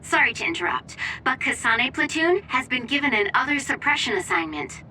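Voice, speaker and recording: Bright, urgent mission operator. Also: female voice, high-pitched, big pitch swings, a little fast, far from the mic, slight background noise, full character voice. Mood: urgent, formal. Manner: formal, urgent briefing. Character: formal female mission operator issuing urgent orders. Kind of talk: video game cutscene acting.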